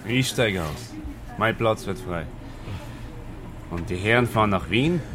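A man's voice: fairly low pitch, animated, measured pace, a bit bright. A man playing a simple, plain-spoken Austrian blacksmith weary of war, in a radio drama with colloquial dialect. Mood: plain-spoken, rueful. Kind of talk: naturalistic radio drama dialogue. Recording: vehicle noise behind.

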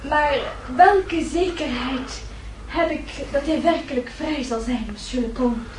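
A woman's voice: high-pitched, slow. A woman playing a desperate young noblewoman in a radio play, with a tremulous, pleading and formal way of speaking. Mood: resigned. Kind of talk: Dutch radio play acting. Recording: roomy, distant, hissy old radio recording.

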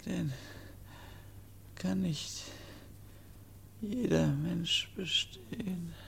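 An actor playing a gentle dying young man in a radio play, exhausted and feverish, speaking in halting, broken phrases. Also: high-pitched, vocal fry, full character voice. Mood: exhausted, fading. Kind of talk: German radio play acting. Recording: noisy old radio drama recording.

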